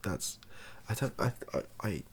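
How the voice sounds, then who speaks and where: A British man softly rambling through an improvised monologue, breathy and often near a whisper, with a wry, self-deprecating, hesitant manner. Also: fairly low pitch, big pitch swings, measured pace, a little hiss or hum. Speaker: video host.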